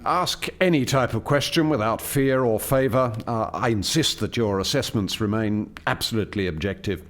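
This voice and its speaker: A man's voice: mid-range voice, natural voice. An actor playing an eager, proud alien scientist who formally explains his experiment in a radio play. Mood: businesslike. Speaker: lead scientist presenting a project. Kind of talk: radio play dialogue, expository.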